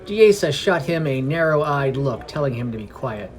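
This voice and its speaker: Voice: medium pitch, sing-song, normal speed. A podcast narrator reading a scripted fantasy story. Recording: music under the voice. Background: background music.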